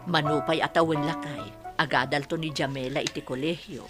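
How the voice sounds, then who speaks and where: A woman's voice: low-pitched, fairly even intonation, fairly quick, natural voice. A woman playing an inquisitive village wife in an Ilocano radio comedy drama. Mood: curious, chatty. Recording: clear music under the voice.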